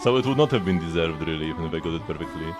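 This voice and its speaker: A man's voice: deep voice, animated, very fast. A man livestreaming a game, talking casually in a rambling, self-deprecating way over the gameplay. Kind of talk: casual live gameplay chat. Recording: game music behind the voice. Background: game music.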